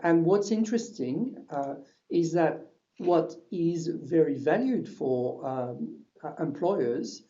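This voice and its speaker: A man's voice: on the high side, animated, slow pace, dark tone, dull audio. A man with a French accent, a university French lecturer giving a webinar presentation, talking with frequent hesitations and restarts. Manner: hesitant, many restarts and fillers.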